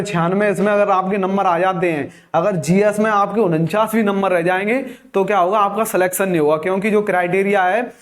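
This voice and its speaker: A man giving an instructive exam-guidance lesson, addressing viewers as friends and repeating key numbers. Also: high-pitched. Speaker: exam coaching teacher. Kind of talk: explanatory exam guidance lecture.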